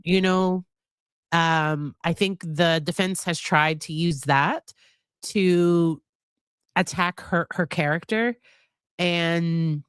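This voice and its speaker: A woman's voice: fairly low pitch, dry recording, clean studio sound. A middle-aged woman psychologist hosting a live stream, chatting casually with a slightly nasal voice from a cold, often restarting and hedging. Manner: chatty, hesitant, many restarts and laughs. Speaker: psychologist and livestream host.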